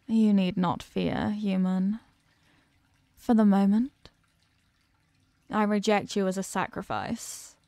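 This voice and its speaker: An ancient eldritch goddess, acted by a woman in a horror audio roleplay, imperious and menacing. Her voice is mellow and gravelly, recorded very dry and intimate. She talks down to lesser beings with deliberate, hungry condescension. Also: medium pitch, dry recording. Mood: haughty, reassuring. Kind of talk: slow horror audio roleplay monologue, ASMR.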